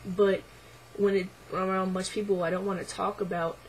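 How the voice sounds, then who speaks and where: A young man talking hesitantly to camera in a casual video diary, his voice midway through deepening and a little unsettled, with many ums and likes. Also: level tone, quick pace, a little hiss or hum, poor audio. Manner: hesitant, lots of ums and likes, quiet and reflective. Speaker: vlogger.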